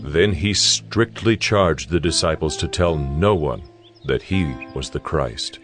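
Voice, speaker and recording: A man narrating scripture from a script in a measured, solemn storytelling manner. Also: a bass voice, expressive, a bit bright, faint music behind.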